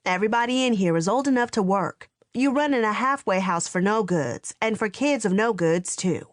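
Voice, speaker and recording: A woman voicing a resentful middle-aged aunt who complains and confronts in a sharp, raised voice. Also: mid-range voice, lively intonation, normal speed, dry recording, studio quality, close to an everyday voice. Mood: angry complaining.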